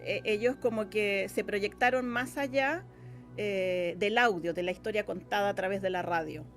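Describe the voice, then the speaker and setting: Chilean female guest, medium pitch, casual and halting as she explains, faint music behind. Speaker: interview guest, radio drama scriptwriter. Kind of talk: casual explanatory interview chat.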